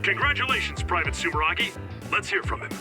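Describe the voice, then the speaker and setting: An actor in an anime game dub playing a gushing, excitable reporter announcing in a showy broadcast style. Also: male voice, sits high, quick pace, over music. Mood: triumphant, gushing. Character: gushing, showy news reporter covering heroes.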